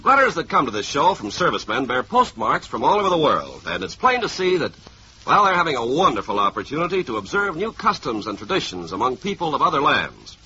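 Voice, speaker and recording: A male announcer delivers scripted 1950s radio narration. His voice is very bright and forceful, with hugely animated pitch. The old broadcast recording sounds dull. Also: brisk, a little hiss or hum. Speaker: radio announcer addressing military listeners.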